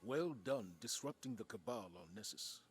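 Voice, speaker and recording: A man playing a grave, measured military commander, delivering an authoritative video game mission briefing. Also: mid-range voice, expressive, a little hiss or hum, lightly acted. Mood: grave, resolute. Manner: authoritative, serious.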